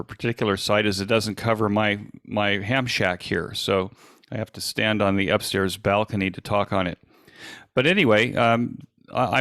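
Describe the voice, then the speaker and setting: A man in his sixties with an American accent gives an informal online presentation in a halting, conversational, self-deprecating way. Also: fairly low pitch, a bit bright, no room sound, clean studio sound. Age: 60s. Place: indoors at a desk, on a video call.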